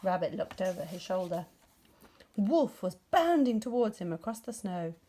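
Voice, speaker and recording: A woman narrating a story for young children in an expressive storytelling style. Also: mid-range voice, moderate pace. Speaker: storybook reader.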